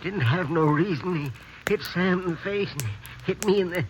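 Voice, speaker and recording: A man playing a wounded, shaken frontier clerk in a radio western, halting and short of breath, with folksy rural grammar. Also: sits high, lightly acted. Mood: agitated, bewildered. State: breathless, labored, in pain. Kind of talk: old-time radio western acting. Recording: noisy vintage radio recording.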